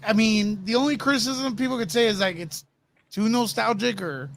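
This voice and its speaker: A man with a distinctly high voice talks in an easy conversational style. He speaks as a guest in a panel discussion. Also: normal speed, creaky, slight background noise. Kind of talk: casual chat.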